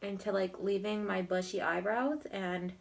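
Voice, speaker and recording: A young woman talking through her makeup routine in a slow, rambling way. She is sniffly from a cold. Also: medium pitch, fairly even intonation, gravelly. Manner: slow, rambling, filler-heavy commentary while applying makeup. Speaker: beauty vlogger. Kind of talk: makeup tutorial chat.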